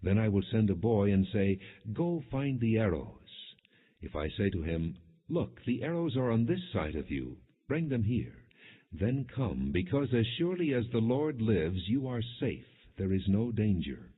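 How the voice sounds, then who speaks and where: A man narrating scripture as an audiobook, reading the story and its quoted dialogue in a measured, expressive way. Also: lowish voice, slightly rough. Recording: muffled narrowband, phone-like audio.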